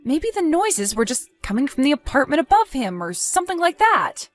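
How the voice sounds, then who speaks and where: Woman acting a lively, flustered, evasive wife. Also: sits high, normal speed, soft background music. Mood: defensive, indignant. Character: evasive, flustered wife, sweet then indignant. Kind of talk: dramatized text-message story narration.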